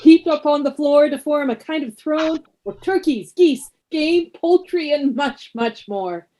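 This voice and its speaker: A woman narrating a classic tale for a radio play in measured, expressive storytelling style. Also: sits high.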